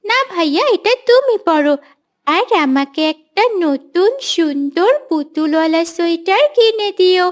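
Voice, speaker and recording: Very high, childlike little girl. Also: lively intonation, measured pace. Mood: wheedling. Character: sweet little girl, excited younger sister. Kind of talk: animated Islamic moral story voice acting.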